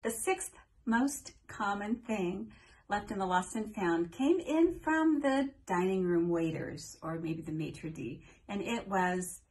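A woman's voice: lowish voice, a little mellow. An older woman hosting a home vlog, telling stories in a friendly way with playful asides, recorded at desk distance in a small room. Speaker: cruise vlogger host. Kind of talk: chatty countdown vlog.

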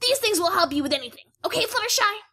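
A woman's voice: extremely high pitch, lively intonation, quick pace, pushed and bright, full character voice. A woman voicing an awkward young athletic pony in a fan-made cartoon radio play.

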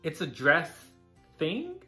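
A man voicing a shy, earnest young boy in a children's storybook, speaking softly and sincerely. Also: a little high, wildly swooping pitch, takes it slowly, faint music behind, voice pushed up, exaggerated voice. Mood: hesitant. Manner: earnest, soft-spoken. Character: young boy Jacob, earnest, shy, proud of his dress.

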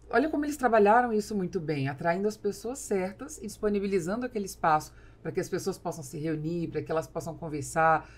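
A woman's voice: on the low side, darkish tone. A woman in her forties with a Brazilian accent, a podcast host explaining things to listeners in an instructive, conversational way. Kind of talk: casual explanatory chat, addressing listeners. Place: small foam-treated podcast studio. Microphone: close studio mic on boom arm.

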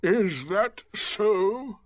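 A man voicing a gloomy, sarcastic old male donkey who speaks in dry, drawn-out remarks. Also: high-pitched, lively intonation, no echo, pitched above the performer's own voice, heavily acted. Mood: sardonic.

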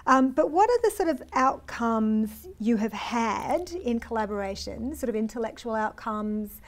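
Higher-pitched woman hosting a panel. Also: lively intonation, a little slow. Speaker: panel host. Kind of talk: informal moderating. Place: indoor studio panel set.

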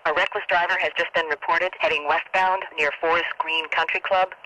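Game police dispatcher, male, quick, formal and procedural, radio filter, noisy channel. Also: on the high side, fairly even intonation. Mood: businesslike. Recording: loud static-like radio noise.

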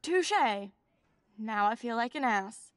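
A woman's voice: on the high side, lively intonation, slow pace, no echo, studio quality. A blunt gossipy teen girl. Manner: blunt, candid. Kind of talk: video game voice acting.

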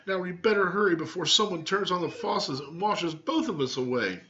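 A man's voice: high voice, animated, brisk, pushed and bright, pitched above the performer's own voice. A man voicing a fussy, affectionate beetle mother in a storybook, anxious and doting. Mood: worried. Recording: dull webcam microphone sound.

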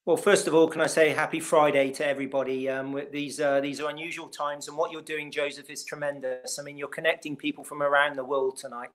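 Brisk West Country English man. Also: fairly high pitch, level tone, fairly bright tone. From West Country of England. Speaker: historian guest. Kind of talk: interview on a video call. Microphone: video call webcam.

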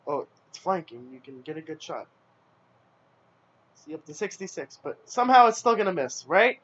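A man's voice: a little high, big pitch swings, normal speed, a bit bright, husky. A young man in casual gaming banter, stammering a bit as he gives bossy advice to a friend playing. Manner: stammering, bossy backseat coaching. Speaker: co-player giving advice. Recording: dull lo-fi mic.

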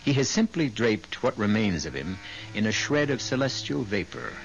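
A man narrating and hosting a 1970s radio drama in a scripted, theatrical, deliberate way. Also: lowish voice, big pitch swings. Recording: muffled old radio broadcast. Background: music.

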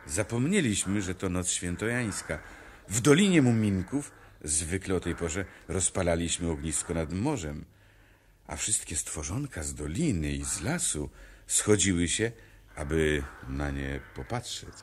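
A man playing a gentle, wistful creature softly reminiscing in a 1970s radio play. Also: low voice, lively intonation, a little slow, slightly rough, full character voice. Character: gentle male creature reminiscing about home valley. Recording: noisy vintage vinyl radio play.

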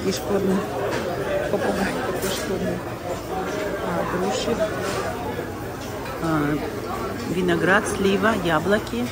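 Woman, low-pitched voice, casual chatty vlog, phone mic, loud crowd all around. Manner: chatty, thinking aloud, self-teasing. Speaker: vlogger. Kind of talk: casual walking tour narration. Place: cruise ship buffet restaurant, indoors. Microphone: handheld phone camera.

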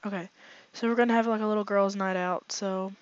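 A young woman with a raspy voice that is fading from illness, chatting casually through a let's play with lots of fillers and asides. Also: medium pitch, fairly even intonation, a little fast. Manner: rambling, filler-heavy, chatty. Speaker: gaming channel host. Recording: slightly dull lo-fi home recording.

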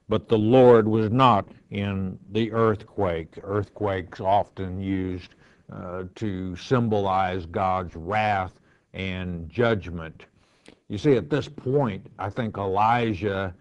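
A man preaching as a pastor, reading Bible verses aloud with emphasis and explaining them in a measured, deliberate way. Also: lowish voice, a little mellow. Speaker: pastor teaching a Bible lesson. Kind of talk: verse-by-verse Bible teaching, reading scripture aloud then commenting. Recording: roomy echo, dull lo-fi sound.

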